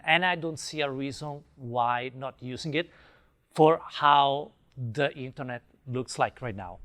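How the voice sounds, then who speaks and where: A man's voice: mid-range voice, fairly bright tone. A man from Italy with an Italian accent, giving an enthusiastic conference talk and taking questions, with many fillers and restarts. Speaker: conference speaker. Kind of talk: tech talk with audience Q&A.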